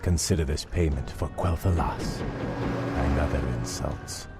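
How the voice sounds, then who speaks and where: Elf prince: very deep, unhurried, proud and taunting, fantasy video game delivery over music. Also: male voice, steady tone, vocal fry, full character voice. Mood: smug, vengeful. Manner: measured, taunting. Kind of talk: video game cutscene acting.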